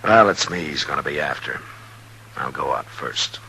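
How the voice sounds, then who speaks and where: A man acting a stern, resolute western lawman in a 1950s radio drama, terse and authoritative with a gravelly voice. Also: a bass voice, expressive, moderate pace, pushed and bright, heavily acted, poor audio. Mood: grave, determined. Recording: hissy, humming 1950s radio recording.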